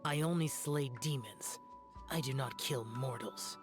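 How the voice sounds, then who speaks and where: A stern, aloof young guardian from a video game, voiced by a man. He speaks in formal, clipped sentences with little warmth. Loud game music plays under his voice. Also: mid-range voice, unhurried, lightly acted. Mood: cold. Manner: formal, curt, solemn.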